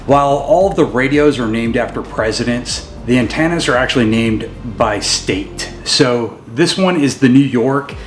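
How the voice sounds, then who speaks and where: A man's voice: mid-range voice, normal speed, few pauses, faint music behind. A man giving a casual, informal how-to walkthrough of a gear install, explaining as he goes. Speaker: co-host of a vehicle gear channel.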